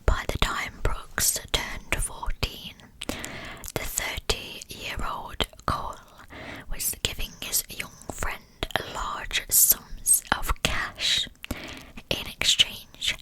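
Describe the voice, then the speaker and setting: A woman narrating a scripted story in ASMR style, in a breathy, unvoiced whisper with measured pauses mid-sentence. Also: sharp tone, rough recording. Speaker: ASMR true crime narrator.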